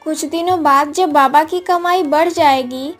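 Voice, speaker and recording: Woman voicing a high-pitched, pleading young village girl. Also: measured pace, faint music behind. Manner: pleading, earnest. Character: dutiful, anxious young village daughter of poor parents. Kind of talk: acted Hindi moral story cartoon dialogue.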